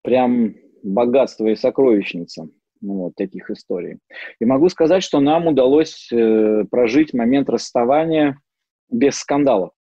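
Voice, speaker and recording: A middle-aged man giving an informal lecture as a psychologist, mixing in personal stories and pausing often on fillers and restarts. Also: medium pitch, animated, mellow, no room sound. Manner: hesitant, many fillers and restarts. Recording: dull lo-fi dry sound.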